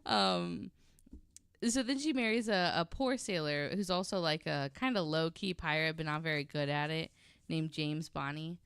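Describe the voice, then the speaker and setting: A woman with a notably deep voice tells a story on a podcast in a casual, chatty way. Her voice is smooth with plenty of vocal fry, and she drops in wry asides. The sound is dry and close. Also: moderate pace, a bit bright, dry recording. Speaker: podcast guest host telling a story.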